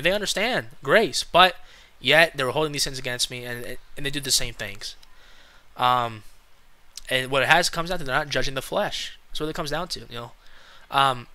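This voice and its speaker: Young male lay preacher, twenties, very bright tone, earnest and halting with fillers. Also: mid-range voice. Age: 20s. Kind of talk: casual spoken sermon to camera.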